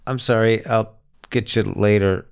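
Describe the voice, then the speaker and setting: Man, low-pitched voice, casual podcast chat, anecdotal storyteller, conversational phrasing. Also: fairly even intonation, measured pace, clean tone. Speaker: podcast host.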